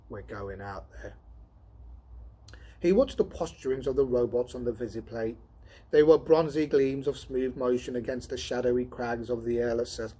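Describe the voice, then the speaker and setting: Man reading a story aloud, slightly husky. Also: medium pitch, mellow. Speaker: storytime host and reader. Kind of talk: storybook reading with spoken introduction.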